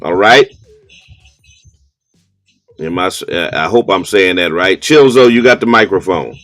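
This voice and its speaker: A man hosting a call-in radio show, talking in a measured way with many pauses and ums. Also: sits low, a bit bright, no room sound, soft background music. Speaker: radio talk show host.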